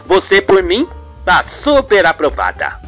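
A woman's voice: on the low side, wildly swooping pitch, unhurried, a bit bright, soft background music, full character voice. A woman playing a haughty, falsely sweet older mother-in-law in an amateur radio soap, gushing and affected. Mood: smug, sweet.

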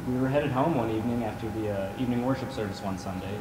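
A young man playing a wounded, rejected young man in a stage play, halting and confessional. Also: fairly low pitch, close to an everyday voice. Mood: pained, confessional. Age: young adult. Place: theater stage, indoors. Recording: echoey theater, distant, noisy.

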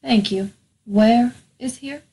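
A woman as a slow, deadpan sentient sword. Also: on the high side, slightly rough, voice pushed up, full character voice. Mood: deadpan, bossy. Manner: deadpan, curt. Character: terse, haughty sentient sword in human body.

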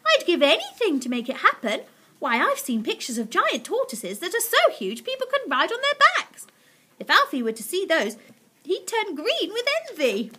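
Woman acting a gushing, high, excitable storybook lady. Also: moderate pace, slight background noise, full character voice. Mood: eager. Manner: gushing, exclamatory, emphatic. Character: excitable, adoring, sweet lady besotted with her pet. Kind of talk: children's storybook character voice.

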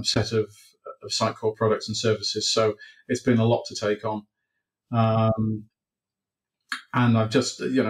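A man's voice: fairly low pitch, low-quality recording. A middle-aged man from Wales answering interview questions on a video call from his home office, hesitant, with many ums and restarts. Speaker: interview guest, developer advocate. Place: home office with bookshelves, indoors. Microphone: video call webcam.

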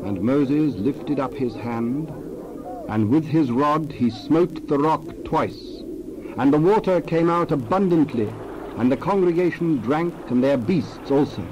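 A man narrating a dramatized scripture reading in a measured, reverent way. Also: on the high side, darkish tone, dull audio, a little hiss or hum.

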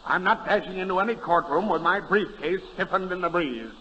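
An actor in a 1930s radio comedy playing a stubborn, dignified film actor. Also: male voice, high-pitched, brisk, bright, forceful tone. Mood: stubborn, haughty. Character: stubborn, dignified actor insisting on lawyerly realism. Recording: muffled, hissy, echoey 1930s radio recording.

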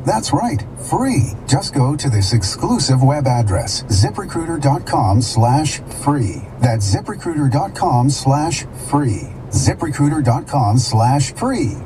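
A man's voice: very animated, takes it slowly, hardly any pauses. A man reading a scripted radio advertisement as an announcer. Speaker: commercial announcer. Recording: noisy, rumbling car radio pickup.

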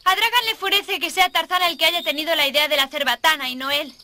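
A woman voicing a small, excitable young monkey sidekick in a Spanish cartoon dub. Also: sits high, fast talker, slight background noise. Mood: chatty, eager.

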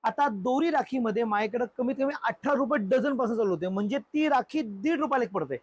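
A man's voice: very high-pitched, lively intonation, fairly quick, husky, dry recording. A male shopkeeper, eagerly listing products in an informal sales explanation inside a shop.

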